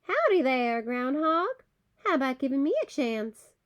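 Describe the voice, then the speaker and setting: A woman's voice: high-pitched, very animated, a little slow, dull audio. A woman voicing a confident, folksy female armadillo visitor in a children's storybook. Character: confident, friendly female Texan armadillo, a stranger.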